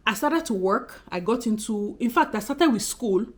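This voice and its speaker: A woman in her thirties from Nigeria, with a Nigerian accent that carries a Benin undertone, talking expressively and fast in a casual vlog to camera. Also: on the high side, animated. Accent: Nigerian, Benin City undertone. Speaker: YouTuber.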